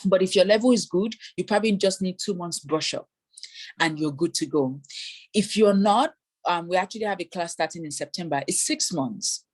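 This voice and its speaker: A woman's voice: on the low side, normal speed, slightly rough. Woman immigration consultant explaining advice. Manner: explanatory, voices hypothetical applicants.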